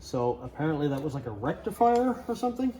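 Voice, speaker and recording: A man's voice: a little high, big pitch swings, fast, mellow, roomy sound, rough recording. A man chatting casually as he hosts a DIY car build vlog, thinking aloud and muttering to himself as he works.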